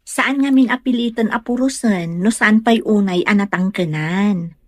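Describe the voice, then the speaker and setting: A woman's voice: mid-range voice, moderate pace, no room sound, studio quality, close to an everyday voice. A woman with a Filipino Ilocano accent acting a humble, polite young country woman in an audio drama. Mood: explaining. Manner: polite, explaining. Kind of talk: radio drama acting in dialogue.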